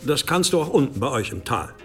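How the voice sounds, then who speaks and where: An actor playing a stern, commanding elderly abbot in a medieval radio drama, admonishing with authority. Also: male voice, medium pitch, big pitch swings, brisk, a bit bright. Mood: impatient, guarded. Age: older. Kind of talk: German radio drama acting.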